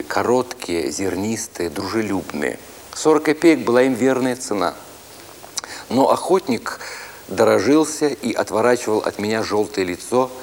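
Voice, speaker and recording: A man reading a literary story as a narrator, expressively, with deliberate phrasing and pauses. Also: on the low side, a little mellow, slight background noise. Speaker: narrator, actor reading an audiobook.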